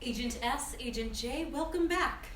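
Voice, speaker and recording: Female spy handler played by a woman, brisk, composed, echoey room, far from mic. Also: high-pitched, fairly bright tone. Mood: composed, businesslike. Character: composed female spymaster, handler of undercover agents. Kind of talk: screenplay table read. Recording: echoey room, distant mic, faint noise.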